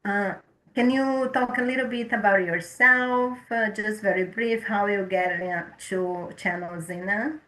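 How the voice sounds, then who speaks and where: A woman hosts a spiritual talk show in a slow, gentle way, now and then stumbling over her words. Her voice is bright and sits a little high. It rings in an echoey room. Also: fairly even intonation. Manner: gentle, unhurried, occasional stumbles. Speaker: host.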